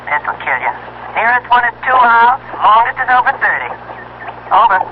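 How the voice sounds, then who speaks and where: A plainspoken country radio contact with an extremely high voice. He talks colloquially through a thin, noisy two-way radio filter in a radio play. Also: sing-song, moderate pace. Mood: matter-of-fact. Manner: folksy, colloquial. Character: folksy male radio-contact colleague, casual and plainspoken. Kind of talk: radio drama acting. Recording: tinny noisy radio transmission.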